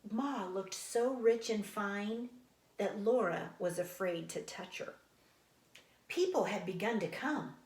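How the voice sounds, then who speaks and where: A woman's voice: medium pitch, expressive, slow pace, a little mellow. A woman reading a children's book aloud expressively and unhurriedly, like a teacher explaining to young listeners. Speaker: read-aloud storyteller, teacher. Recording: roomy echo, faint background noise.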